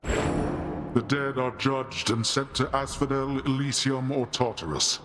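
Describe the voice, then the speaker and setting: An actor playing a stern, orderly underworld authority in a video game, with measured, imposing delivery. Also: male voice, mid-range voice, steady tone, fairly bright tone, faint music behind. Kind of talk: video game character dialogue. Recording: long cavernous reverb.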